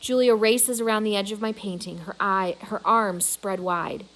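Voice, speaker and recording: A woman voicing a proud, grumbling male gorilla who narrates his own storybook tale in a measured, deliberate way. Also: mid-range voice, steady tone, a bit bright, close to an everyday voice, low-quality recording. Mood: watchful. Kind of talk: storybook narration in first person.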